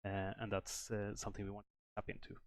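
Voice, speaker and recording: A man from Sweden, a game developer explaining at length in a stream interview, with many pauses and restarts. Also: on the low side, completely flat delivery, no echo.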